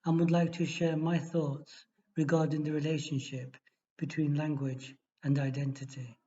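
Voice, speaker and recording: Guy reading an essay out slow and even, kinda high voice, muffled lo-fi sound. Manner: steady, measured reading with small restarts. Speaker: exam tutor. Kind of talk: reading a model essay aloud. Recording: dull lo-fi recording.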